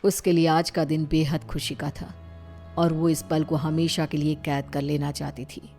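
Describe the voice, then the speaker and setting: A woman's voice: low voice, flat delivery, moderate pace, mellow, faint music behind. A woman narrating a scripted cartoon horror story.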